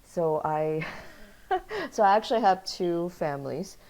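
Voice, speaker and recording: A woman from Taiwan and Kansas speaks in a low voice as an interview guest. She tells her story in an animated, easygoing way and laughs often. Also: a little slow. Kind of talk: casual interview chat.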